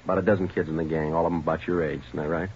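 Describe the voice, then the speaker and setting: Police detective, very low voice, brisk, firm, relentless, clipped, muffled vintage radio drama. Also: male voice, little pitch movement, darkish tone. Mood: matter-of-fact. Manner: clipped, matter-of-fact, pressing. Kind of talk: 1940s radio drama acting. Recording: noisy, muffled 1940s radio recording.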